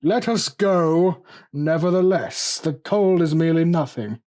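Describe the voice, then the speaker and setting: A man voicing a drunken, boastful, excitable Italian wine connoisseur in a gothic audiobook tale. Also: on the high side, unhurried, pitched above the performer's own voice, lightly acted. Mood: eager, dismissive. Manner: exclamatory, boastful, laughing scoffs. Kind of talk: dramatic audiobook character voice.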